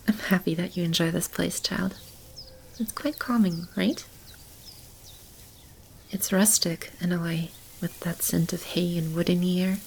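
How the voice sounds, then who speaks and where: A woman plays a nurturing female keeper of a magical animal shelter in a soothing ASMR roleplay. Her voice is slightly low and crackles heavily with fry as she talks softly to a child. There is faint background noise behind her. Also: steady tone, measured pace, long pauses. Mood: calm, wistful. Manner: soft, soothing, chuckling, speaking to a child.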